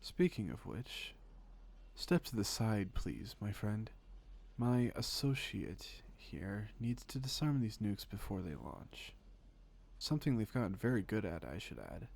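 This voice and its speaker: A man playing a suave, teasing secret agent in an audio roleplay, speaking with wry politeness and calm menace in a creaky voice. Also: fairly low pitch, full character voice. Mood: smooth menace. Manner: polite, wry, unhurried conversational patter with pointed pauses. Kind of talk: scripted audio roleplay, intimate one-sided dialogue.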